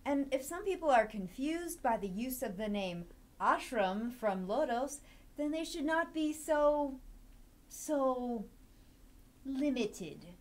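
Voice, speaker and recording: A woman playing an earnest anime fan in a dramatic reading, with measured, deliberate phrasing. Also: high voice, animated. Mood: earnest, defensive. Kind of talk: dramatic reading of an old forum post.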